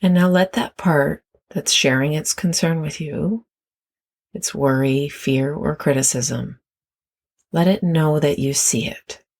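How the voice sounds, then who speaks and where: A meditation guide speaks very slowly and gently, leaving long pauses between phrases. The voice is husky and breathy, with a noticeable creak. The delivery is that of a guided meditation. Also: medium pitch.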